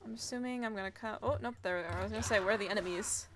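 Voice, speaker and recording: A woman's voice: medium pitch, rapid-fire, a bit bright, slight background noise. A woman streaming a game, giving casual live commentary in a giggly, gushing way and reacting aloud as she plays. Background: game music.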